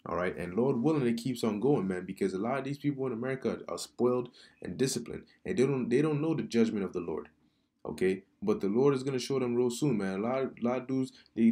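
A man giving casual religious commentary over news articles he reads aloud, halting and repeating words, often calling the listener "man.". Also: on the low side, a little mellow. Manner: preachy, addresses viewer as man. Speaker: online commentator. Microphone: computer mic during screen recording.

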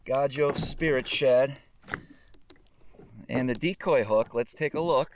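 A man in his forties chatting casually to camera as a fishing vlogger, laid-back and halting as he thinks aloud, recorded outdoors on a boat. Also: mid-range voice. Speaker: fishing vlog host. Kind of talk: casual chat, explaining to camera. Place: open boat deck on a lake, outdoors. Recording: outdoor camera audio with clear boat noise.

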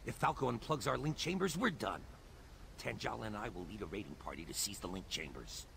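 An actor playing an intense rebel scientist in a video game, a defiant mentor with a gravelly voice. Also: male voice, on the low side, animated, a little fast, fairly bright tone, a little hiss or hum. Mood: grave resolve. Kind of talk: dramatic video game dialogue.

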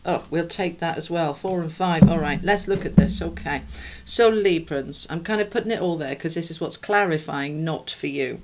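A woman's voice: low-pitched, normal speed, noisy background. Middle aged british lady doing a tarot reading, kinda throaty and stuffed up, rambly and chatty with her viewers. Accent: British English. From United Kingdom. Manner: chatty, rambling, addresses viewers warmly as a group. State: slightly throaty, congested. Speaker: tarot reader. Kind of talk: casual spoken tarot reading.

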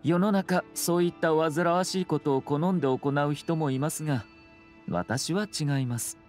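An actor voicing a suave young noble lord in a video game, speaking formal, measured with courteous composure and subtle wryness. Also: male voice, fairly high pitch, a little mellow, soft background music, lightly acted. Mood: wry, polite.

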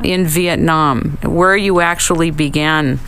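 A woman's voice: low voice, fairly even intonation, slow pace, a bit bright, slight background noise. A woman hosting a webcast, opening the segment in an effusive, announcer-like manner and then interviewing her guest. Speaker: webcast host. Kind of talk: scripted show introduction and interview.

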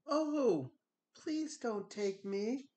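A man voicing a tiny, timid young billy goat with a very small, soft, pleading voice, for a storybook. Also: very high-pitched, lively intonation, very slow, voice pushed up, heavily acted.

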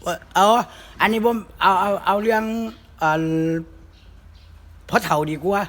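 A man's voice: high-pitched, a little slow, fairly bright tone. A man with a northeastern Thai accent, chatting and telling humorous folk stories in a casual, rambling way. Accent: northeastern Thai (Isan). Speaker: comic storyteller. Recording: clear background noise.

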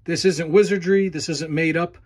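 A man's voice: a little high, fairly even intonation, moderate pace. A man hosting a hands-on repair walkthrough, explaining each step methodically while filming with a handheld camera. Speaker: channel host demonstrating repairs. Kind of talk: instructional walkthrough.

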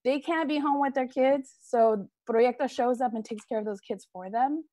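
A woman's voice: high voice, normal speed, warm tone, dry recording. An American woman chatting casually and effusively on a group video call about a fundraiser. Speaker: cast member presenting a charity fundraiser.

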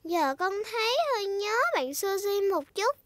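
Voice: very high voice, lively intonation, normal speed, a bit bright, dry recording. An actor voicing a cheerful, bossy little girl piglet in a children's cartoon dub, eager and childlike. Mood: sulky. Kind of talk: animated children's show dub.

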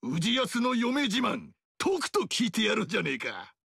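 A man voicing a boisterous, proud barbarian king with a gravelly, blustering, shouting delivery in video game dialogue. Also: high voice, huge pitch swings, normal speed, no room sound, studio quality, full character voice. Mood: boastful. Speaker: warlord character.

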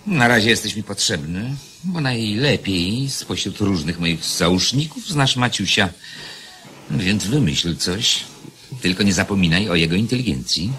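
Radio play villain king: low, forceful, mocking, theatrically animated. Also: a little slow, a little hiss or hum. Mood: scheming, commanding. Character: sly, domineering, mocking enemy king, grown man. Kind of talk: radio drama acting.

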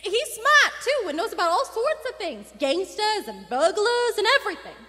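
A woman's voice: very high voice, very animated, normal speed, sharp tone, full character voice. A woman playing an eager, opinionated young boy with childlike, emphatic speech in a live radio play. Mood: eager, argumentative. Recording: echoey theater hall.

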